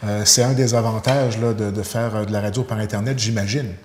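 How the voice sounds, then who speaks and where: A man's voice: on the low side, fairly even intonation, a little fast, darkish tone, reverberant, a little hiss or hum. A man hosting a TV interview, asking long, affirming questions filled with euh and là. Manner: wordy, affirming, fills with euh and là. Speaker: TV interviewer, host.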